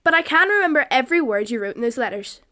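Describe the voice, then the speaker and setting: High-voiced, earnest young daughter female performer. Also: big pitch swings, quick pace, bright, forceful tone, slight background noise, heavily acted. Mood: earnest, wistful. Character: earnest twelve-year-old daughter writing to her father. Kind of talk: radio play letter reading.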